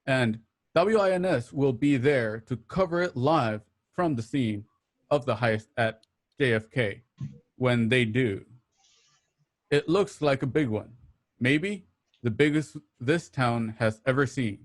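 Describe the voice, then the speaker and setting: A man's voice: medium pitch, slow pace, close to an everyday voice. A man playing an urgent radio news reporter in a radio play, delivering the bulletin in short dramatic phrases.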